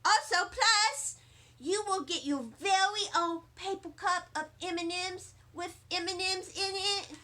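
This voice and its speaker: Woman playing a bright, high-pitched, excitable little girl. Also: measured pace, full character voice. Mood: eager, friendly. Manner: childlike ungrammatical chatter, emphatic. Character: excitable, bragging little girl narrating her own story. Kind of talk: storybook read-aloud for children.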